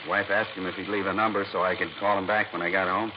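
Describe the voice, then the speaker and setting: An actor playing a chatty, obliging American pool builder in a 1950s radio drama, with an informal, folksy manner. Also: male voice, low-pitched, little pitch movement, fast talker, lightly acted. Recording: dull old radio, loud engine noise.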